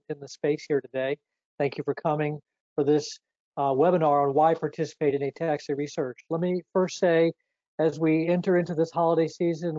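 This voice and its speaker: A man moderating a webinar, introducing the speakers in an earnest, measured way with some fillers. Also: fairly high pitch, mellow, no room sound. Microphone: video call. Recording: muffled dry webinar audio.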